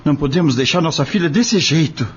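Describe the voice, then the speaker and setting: A Brazilian man playing a stubborn, anxious father, expressive and earnest, in an audio drama. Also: a little high, quick pace, breathy edge, dull audio. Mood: worried. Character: stubborn, anxious young father and farm worker. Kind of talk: audio drama acting in Portuguese.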